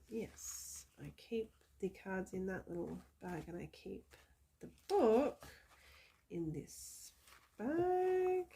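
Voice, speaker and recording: A woman in her thirties talks slowly and chattily, her voice carrying a gravelly fry. She sounds slightly hoarse from a cold and fills her talk with ums. Her pitch sits in a middle range. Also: warm tone. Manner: chatty, many ums, gushing. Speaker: psychic reader showing tarot decks. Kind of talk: casual show-and-tell chat.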